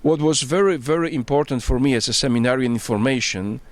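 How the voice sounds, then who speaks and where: A man's voice: medium pitch, fast, no echo. A middle-aged man from Poland with a Polish accent, a priest answering interview questions earnestly, searching for his English words, recorded outdoors on a rooftop. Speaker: priest and bishop-elect, interviewee. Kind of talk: casual interview.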